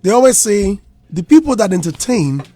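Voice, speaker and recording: A man's voice: sits high, very animated, moderate pace, warm tone, no room sound. A man hosting a casual broadcast interview in a conversational, musing way.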